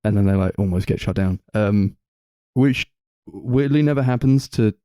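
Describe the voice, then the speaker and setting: A man's voice: fairly low pitch, normal speed, dark tone, no echo, clean studio sound. A man co-hosting a podcast, casually discussing films in a rambling way peppered with "like". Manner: rambling, full of "like". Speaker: podcast co-host.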